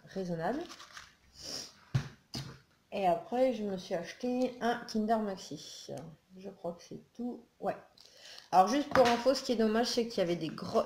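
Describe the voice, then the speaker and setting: A woman's voice: medium pitch, mellow. A woman from northern France, chatting casually and rambling with lots of fillers, sounding a little groggy after just waking up early in the morning at home. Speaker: beauty and haul vlogger. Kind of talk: casual haul video chat. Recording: echoey room with faint noise.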